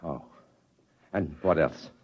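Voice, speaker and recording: A man's voice: very deep voice, slow pace, husky, exaggerated voice. A man acting a desperate journalist father in an old radio drama, both speaking and narrating in hard-boiled style. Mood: stern concern. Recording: dull old radio recording, loud music behind.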